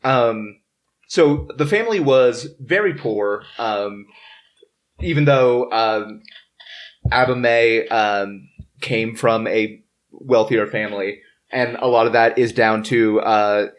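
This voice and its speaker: A podcast host talking casually through history research in a halting, hesitant way. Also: medium pitch. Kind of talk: casual history discussion among friends. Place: in person around a table.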